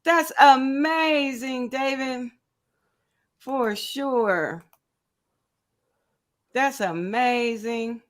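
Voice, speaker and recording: A woman in her fifties chats her way through a live crafting stream, greeting each viewer by name and laughing often. Her voice sits a little high, and she takes her time with every phrase. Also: very slow, long pauses. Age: 50s. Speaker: crochet channel host. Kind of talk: casual livestream chat.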